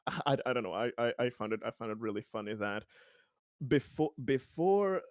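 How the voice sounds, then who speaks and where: A man playing a tabletop roleplaying game, chatting casually in a halting, jokey way, often chuckling. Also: medium pitch, expressive, dry recording, studio quality. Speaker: tabletop role-playing player.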